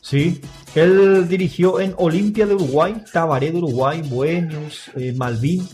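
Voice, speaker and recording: A man co-hosting a radio show and running through sports news. His voice sits a little high, and he lists facts in a steady, informative way. Soft music plays quietly behind him. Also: a little slow. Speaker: radio co-host and sports presenter.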